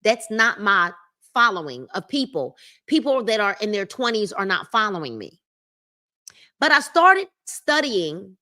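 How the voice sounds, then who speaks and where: A woman's voice: fairly high pitch, bright, forceful tone. A woman hosting a live-streamed book club, reading a self-help book aloud and breaking in with emphatic commentary. She repeats key phrases and speaks directly to her viewers in the chat. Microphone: livestream mic.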